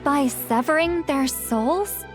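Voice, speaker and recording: High-voiced, easygoing young shaman woman. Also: slow pace. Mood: curious, puzzled. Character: easygoing young female shaman, curious and quietly confident. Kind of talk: English game dub acting. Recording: background music under dialogue.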